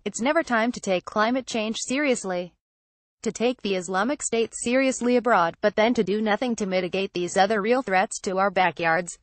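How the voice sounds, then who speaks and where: Female reader for an op-ed; steady, measured, emphatic pauses, dry booth sound. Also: mid-range voice, no room sound. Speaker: reader of an opinion column. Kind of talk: read-aloud opinion column.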